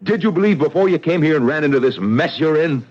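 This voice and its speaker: An actor playing a blunt, forceful middle-aged businessman and widower in a vintage radio mystery. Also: a little high, expressive, fast. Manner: blunt and forceful, speaks his mind. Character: blunt, tough-minded male businessman widower. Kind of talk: vintage radio drama acting. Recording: dull old radio broadcast.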